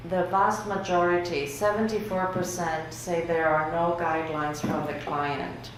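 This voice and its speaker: Woman lecturer, native Greek speaker, chatty and relaxed delivery, slightly low voice, very reverberant room with faint hiss. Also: a little slow. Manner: conversational, many fillers, laughs lightly. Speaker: workshop lecturer. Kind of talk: lecture presenting survey results. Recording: very echoey room with faint noise.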